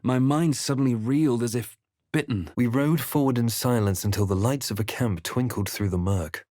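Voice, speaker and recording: An actor playing a brooding male fantasy adventurer who recounts his journey in a grave, measured, theatrical way for a video game. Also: mid-range voice, dark tone, no echo, clean studio sound, lightly acted. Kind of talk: scripted dramatic narration and game character barks.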